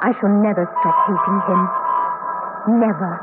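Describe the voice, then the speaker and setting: An actress playing a bereaved, embittered woman full of hatred in an intense, deliberate radio drama monologue. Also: mid-range voice, steady tone, natural voice. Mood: bitter, vengeful. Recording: dull old radio broadcast under loud music.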